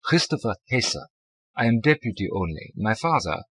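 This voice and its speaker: A man voicing a scheming fugitive who poses as a meek, grieving German, speaking halting English with a German accent in an audiobook. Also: mid-range voice, big pitch swings, fairly bright tone, breathy edge, no room sound. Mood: polite, solemn. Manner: halting, pleading, broken English.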